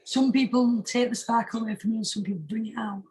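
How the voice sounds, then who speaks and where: A British woman with a husky voice chatting casually as a singer being interviewed, informal and emphatic, often repeating herself for stress. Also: on the high side, fairly even intonation, fast talker, a little mellow. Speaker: singer-songwriter guest.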